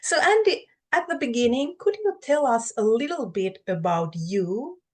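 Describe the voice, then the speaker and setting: A woman's voice: fairly high pitch, sing-song, moderate pace, darkish tone. A Finnish woman whose first language is Finnish, hosting an interview and introducing her guest in English with careful phrasing. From Finland. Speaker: host.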